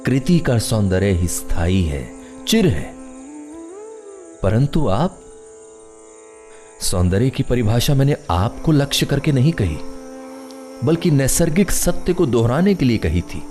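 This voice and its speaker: Radio play recluse: mid-pitched man, lofty literary diction, debating and aloof, music underneath. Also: very animated, unhurried, long pauses, warm tone. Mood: lofty, philosophical. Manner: formal, literary, argumentative diction. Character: aloof, philosophical man living alone in a forest hut.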